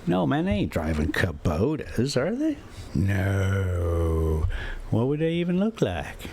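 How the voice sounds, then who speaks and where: A man with a lowish voice hosts a homespun story time. He takes his time, and his pitch swings in a lively way. His manner is folksy and informal, and there is some noise behind him. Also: slow pace. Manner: folksy, rambling, chuckling self-commentary. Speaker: storyteller and host reading a classic novel. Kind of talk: read-aloud story with casual asides.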